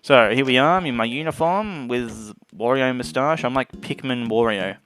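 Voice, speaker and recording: A man's voice: medium pitch, normal speed, a bit bright. A man giving casual let's play commentary on a video game as its host. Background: game music.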